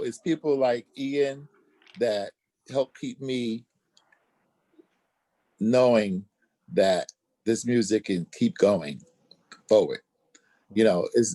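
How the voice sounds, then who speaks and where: An older man chatting casually as an interview guest, often halting as he searches for words. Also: mid-range voice, dark tone, dry recording. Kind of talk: casual chat.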